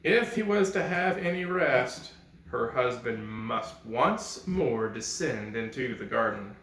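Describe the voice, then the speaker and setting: A man narrating a fairy tale as a storyteller, with expressive, dramatic and deliberate phrasing. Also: medium pitch. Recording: echoey, roomy sound.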